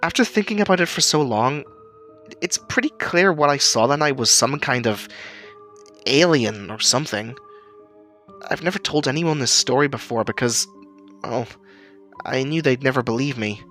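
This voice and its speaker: A man's voice: medium pitch, moderate pace, pauses often, fairly bright tone, faint music behind, lightly acted. A man playing an earnest, uneasy storyteller who recalls an eerie childhood memory, stammering now and then. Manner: earnest, hesitant, stammering in places. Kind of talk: first-person spooky story narration.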